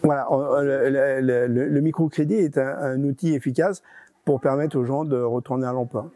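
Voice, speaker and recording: A man's voice: medium pitch, steady tone, normal speed, mellow, dry recording. A man giving explanatory interview answers, hesitating and often repeating his words as he goes. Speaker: interviewee, regional deputy director of a nonprofit.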